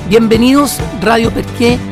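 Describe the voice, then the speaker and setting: A man's voice: extremely high pitch, moderate pace, over music. A man who is a journalist giving a formal scripted introduction to a community radio station, welcoming listeners.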